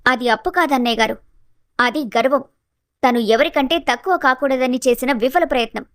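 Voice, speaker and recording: Bold young daughter-in-law for storybook drama played by a woman, firm, very high voice, dry recording. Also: moderate pace, no room sound, lightly acted. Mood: firm, confronting. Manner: firm, confronting. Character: bold, clear-headed young daughter-in-law exposing hypocrisy.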